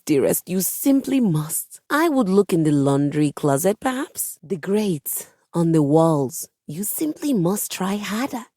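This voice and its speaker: A woman's voice: fairly low pitch, dark tone, no echo, clean studio sound. A woman voicing a vain, refined piano diva in a video game, speaking in a grandiose, pompous way with precise wording. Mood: fussy, haughty. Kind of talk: theatrical video game character acting.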